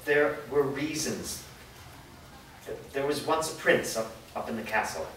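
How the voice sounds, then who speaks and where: A man playing a worried, guilt-ridden father in a staged reading, speaking in a halting, earnest way. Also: mid-range voice, animated, a bit bright. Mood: regretful. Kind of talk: staged reading of a fantasy drama. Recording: echoey room, distant mic, clear noise.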